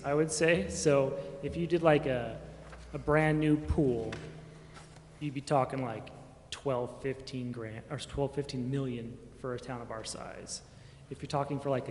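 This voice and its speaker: In a reverberant hall with faint noise, a man talks at a medium pitch, explaining things informally to officials. He feels his way through sentences as he thinks aloud. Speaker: town staff member answering council questions. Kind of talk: informal explanation at a public meeting. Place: indoor council chamber. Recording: echoey meeting chamber, faint background noise.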